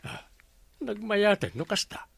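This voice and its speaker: A man's voice: sits high, sing-song, unhurried, creaky, a bit bright, slightly rough, no echo, heavily acted. A man playing a blustery, vain village captain, comic and wheedling, in a radio drama. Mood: self-pleased. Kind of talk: comedy radio drama acting.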